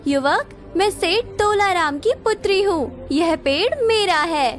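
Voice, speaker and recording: A woman's voice: very high-pitched, expressive, slow pace, soft background music, exaggerated voice. A woman voicing a young, assertive female monkey, an enchanted merchant's daughter, in a cartoon story. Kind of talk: Hindi moral-story cartoon acting.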